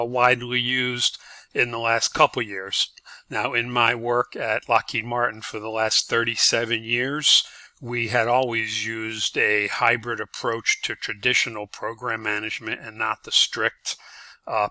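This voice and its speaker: An older man lecturing on course material as an instructor, speaking in a measured, halting way with frequent drawn-out uhs. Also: medium pitch, pushed and bright, no room sound.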